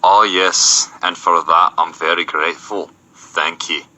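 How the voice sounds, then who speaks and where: Man acting a very bright, low-pitched pious reverend. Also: fairly even intonation, unhurried, lo-fi sound, full character voice. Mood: benevolent. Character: benevolent pious male reverend, secretly a flirt. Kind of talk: amateur audio drama acting.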